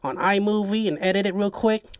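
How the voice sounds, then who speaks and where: Nasal high-voiced guy, chill slangy podcast talk, dry close sound. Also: fairly quick, clean tone, no room sound. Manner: laid-back slangy talk, laughs often. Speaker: podcast guest. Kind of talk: casual chat.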